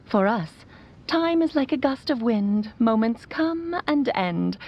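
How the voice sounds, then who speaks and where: A woman's voice: on the high side, animated, slow pace, a little hiss or hum. A woman playing a loving, whimsical mother in a video game, speaking in a tender, lyrical, theatrical way. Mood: loving, reflective. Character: loving whimsical mother, long-lived mage, playful and wistful. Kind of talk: video game voice acting, storytelling monologue. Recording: slightly muffled, music behind. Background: background music.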